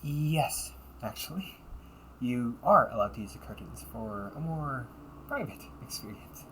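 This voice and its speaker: A man's voice: medium pitch, animated, measured pace, a bit bright, a little hiss or hum, rough recording. A man acting the part of a young butler cafe waiter in an audio roleplay, with formal, courteous service talk.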